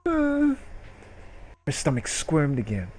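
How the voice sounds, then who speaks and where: Slow, mock-serious man reading aloud. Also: fairly high pitch, huge pitch swings, slight background noise. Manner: mock-serious narration broken by loud, incredulous outbursts. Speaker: host reading stories. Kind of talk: comic dramatic reading of an erotic short story.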